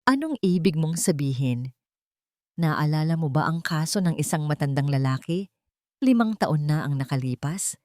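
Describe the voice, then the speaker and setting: A woman's voice: low voice, expressive, normal speed, darkish tone, no room sound, clean studio sound. A woman voicing a cold, scheming young woman in a dramatic audio story, icy, calculating and menacing. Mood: icy, threatening. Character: cold, scheming young woman blackmailing her former lover.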